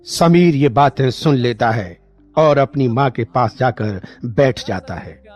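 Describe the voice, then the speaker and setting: A man's voice: medium pitch, slow pace, darkish tone, lo-fi sound. A man reading scripted narration for a moral story. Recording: music bed behind the voice.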